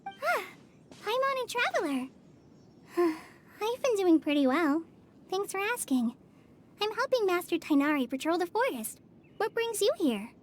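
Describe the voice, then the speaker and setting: A kind teenage girl ranger from a video game, with a very high, youthful voice. She sounds earnest and gentle, eager to reassure and help. Faint music sits behind her. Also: sing-song, measured pace, long pauses, slight background noise, full character voice. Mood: polite, helpful. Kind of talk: animated video game voice acting.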